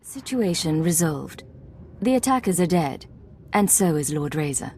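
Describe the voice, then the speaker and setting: Game heroine: a businesslike secret agent, lowish voice, controlled and clipped, faint ambience. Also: female voice, big pitch swings, measured pace, a little mellow, slight background noise, heavily acted. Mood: cool, businesslike. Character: cool, businesslike female Imperial spy agent. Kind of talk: video game voice acting.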